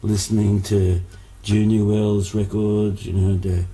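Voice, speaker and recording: Older man with a flat, dark voice. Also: on the low side, a little slow. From Hungary. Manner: rambling, lots of fillers and restarts. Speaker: musician guest. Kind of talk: casual interview, storytelling.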